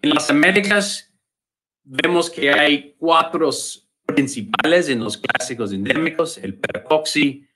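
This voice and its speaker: Man, American accent, native English speaker, slow and hesitant lecturer on a video call. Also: on the high side, bright, forceful tone, low-quality recording. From United States. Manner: hesitant, repeats words while searching for Spanish. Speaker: invited lecturer. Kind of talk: virtual conference lecture. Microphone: computer mic on a video call.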